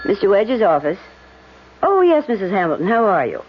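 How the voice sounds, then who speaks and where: An actress playing a lively, caring, teasing secretary to a lawyer in a vintage radio drama. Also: female voice, mid-range voice, normal speed, natural voice. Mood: polite. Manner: teasing, expressive. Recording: dull, noisy old radio recording.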